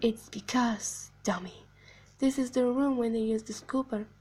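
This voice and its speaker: Woman voicing an eerie, high-pitched animatronic clown girl. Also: fairly even intonation, husky. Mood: hushed, menacing. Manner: slow, deliberate, hushed storytelling, dropping into whispers. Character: eerie soft-spoken animatronic girl clown, sinister and falsely sweet. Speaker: amateur voice actor. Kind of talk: video game character monologue.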